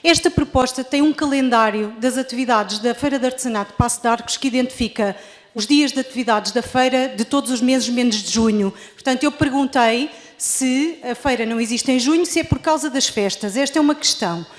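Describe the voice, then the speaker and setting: A woman's voice: on the high side, fairly quick. A woman with a European Portuguese accent, a municipal deputy who speaks formally and measuredly at a council meeting, then more directly when restating her questions. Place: large council chamber. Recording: long reverb of a council hall.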